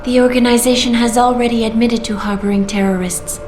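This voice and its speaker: A woman voicing a female artificial intelligence in a video game, speaking in a smooth, measured and coolly persuasive way. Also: on the high side, fairly even intonation, a little mellow, slight background noise, close to an everyday voice. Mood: calm persuasion. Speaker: AI guiding the player through a choice. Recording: roomy game audio with clear music.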